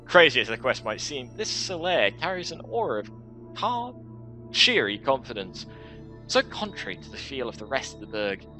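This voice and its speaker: A young man streaming a game, reading its narration aloud in a headset and breaking off into quick casual asides. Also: medium pitch, sing-song, pushed and bright, soft background music. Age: young adult. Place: small room at home.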